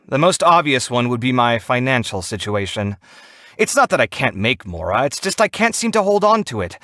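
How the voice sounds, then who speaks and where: Casting: proud, earnest young architect for an anime game; articulate, dramatic, very animated pitch, dry close recording. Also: male voice, no room sound. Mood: sheepish, troubled. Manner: articulate, expressive, theatrical. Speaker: video game character voice.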